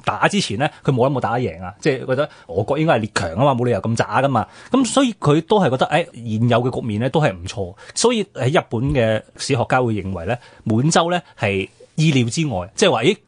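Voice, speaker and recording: A male radio co-host with a Hong Kong Cantonese accent explains history in a chatty, informal way. He talks quickly with lively pitch swings and a slightly husky voice. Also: dry recording.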